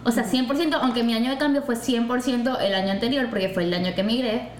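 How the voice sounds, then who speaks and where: A young woman with a Venezuelan accent, co-hosting a casual podcast chat, animated and emphatic. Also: fairly high pitch, fast talker. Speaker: podcast co-host. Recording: echoey room with faint noise.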